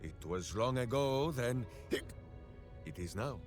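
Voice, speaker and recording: A man's voice: medium pitch, lively intonation, takes it slowly. Big simple hero warrior for a game, super dramatic n righteous, voice swings all over, takes his time, music behind. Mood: blustering, earnest. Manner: theatrical, grandiose, speaks of himself in third person. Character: big simple-hearted heroic male warrior, boisterous and righteous. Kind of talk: video game character acting.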